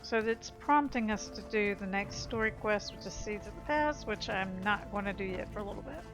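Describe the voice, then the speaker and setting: A woman's voice: medium pitch, normal speed, low-quality recording. A woman giving casual commentary as she plays through a video game. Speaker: gamer narrating a playthrough. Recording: game music behind the voice.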